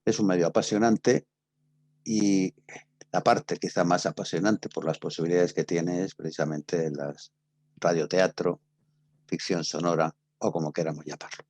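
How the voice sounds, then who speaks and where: An older man with a Castilian Spanish accent giving an introductory lecture as a teacher, speaking in short, halting phrases. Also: deep voice, steady tone, darkish tone, no echo. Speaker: teacher giving a radio theatre workshop.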